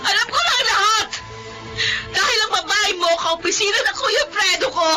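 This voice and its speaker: A betrayed young mother, emotional and pleading, her voice very high and near tears. A woman acts her out. Background music plays beneath her lines. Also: normal speed. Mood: sobbing anger. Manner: tearful, pleading, bursts into shouting. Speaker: wronged wife. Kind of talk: Filipino radio-style audio drama.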